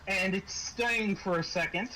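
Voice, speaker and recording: A man with a fairly high voice acts as game master for a tabletop horror game. He improvises his narration and pauses for drama as he describes each scene. There is light noise behind him.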